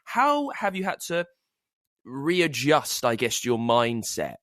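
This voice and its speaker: A man's voice: medium pitch, very animated, slow pace, a bit bright, no room sound, clean studio sound. A man hosting a conversational interview, working his way reflectively into long, roundabout questions. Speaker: podcast host interviewing authors.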